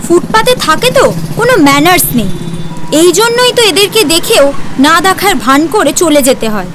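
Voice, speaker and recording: A woman's voice: very high voice, expressive, normal speed. A woman playing an impatient, snobbish schoolgirl with a brusque, dismissive manner in an audio drama. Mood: scornful. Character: impatient, snobbish schoolgirl friend scorning street children. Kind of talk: amateur audio drama acting. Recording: noisy outdoor street ambience.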